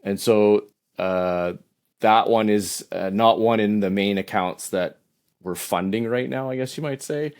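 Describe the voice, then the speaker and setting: A Canadian man in his fifties, a podcast guest answering in a relaxed, hesitant way with many "uh"s. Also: fairly low pitch, a little slow. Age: 50s. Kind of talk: casual interview answers.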